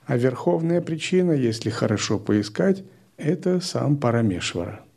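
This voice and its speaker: A man giving a spiritual lecture, explaining step by step in a calm, methodical way. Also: mid-range voice, moderate pace, creaky, slightly rough. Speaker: spiritual teacher.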